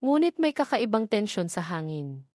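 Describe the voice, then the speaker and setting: A woman reading scripted dramatic narration of a love story in a measured, sentimental manner. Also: medium pitch, sing-song, dark tone, no echo, clean studio sound.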